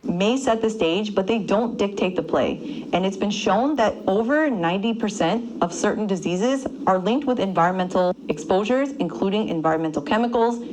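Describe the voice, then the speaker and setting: A woman presenting a scripted health segment in a measured, upbeat and persuasive way. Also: mid-range voice, a little mellow, slight background noise, low-quality recording. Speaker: health presenter in a played clip.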